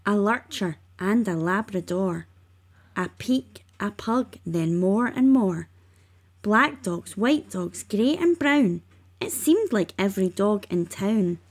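A woman reading rhyming children's narration aloud, expressively and with measured emphasis. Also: mid-range voice, a little mellow. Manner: measured, emphatic on sound words. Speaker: storybook reader.

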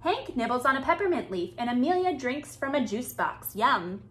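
A woman in her thirties reading a picture book aloud to young children with expressive, deliberate phrasing. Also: high voice. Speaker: children's storytime reader. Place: small indoor room with bookshelves.

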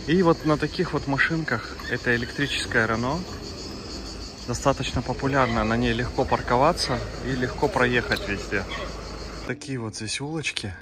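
A man's voice: mid-range voice, normal speed. Man, travel vlogger, casual narration, addresses viewers, outdoors on camera mic, noisy street background. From Kazakhstan. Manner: chatty, addresses viewers as friends, fillers. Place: outdoors in narrow town streets and in a car.

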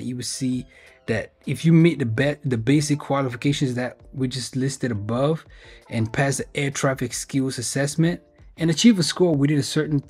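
A man's voice: mid-range voice, darkish tone, slightly rough. A man hosting an informal explainer video, reading through a web page in a conversational way and stressing key points. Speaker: video host sharing job openings.

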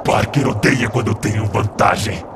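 A man with a Brazilian accent voicing a mocking monstrous alien symbiote villain in a hissing, gravelly growl for a video game fan dub. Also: lowish voice, close to an everyday voice. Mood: gloating. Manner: taunting, gloating, drawn-out. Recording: loud game music over voice.

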